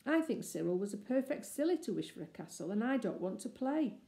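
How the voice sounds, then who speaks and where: A reluctant little girl from a children's storybook, read by a woman. She sounds doubtful and worried, with a mellow tone and echo from the room around her. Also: fairly high pitch, sing-song, fairly quick, vocal fry. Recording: echoey room.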